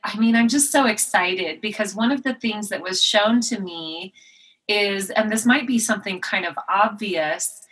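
A woman's voice: medium pitch, fairly bright tone, rough recording. A woman hosting a podcast interview, enthusiastic and gushing, stopping and restarting often. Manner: enthusiastic, gushing, halting with fillers. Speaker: podcast host.

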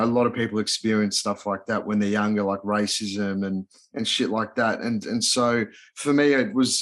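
A man in his thirties, born in Hungary and raised in Australia, with an Australian accent, talking as an interview guest in a laid-back, slangy way full of fillers and restarts. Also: mid-range voice. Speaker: guest, yoga and mindfulness coach. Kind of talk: casual podcast interview.

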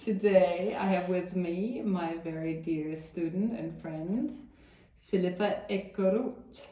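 A woman hosts a casual podcast interview, her voice sitting a little low. She chats in an encouraging way and thinks aloud with fillers. The room around her sounds roomy and echoey. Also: slow pace, mellow, a little hiss or hum. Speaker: podcast host. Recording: roomy echo.

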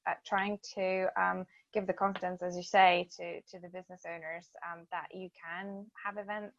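A woman with a Lithuanian accent, her native language, talks as an interview guest. She is hesitant, filling many pauses, and keeps a flat, even pitch. The recording is dry and somewhat dull. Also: no room sound. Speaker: guest, events marketing business owner. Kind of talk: casual interview chat.

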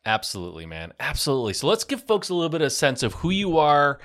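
A man's voice: a little high, wildly swooping pitch, fast talker, a bit bright. Agreeable male podcast host. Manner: affirming, frequent short agreements. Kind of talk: casual podcast interview.

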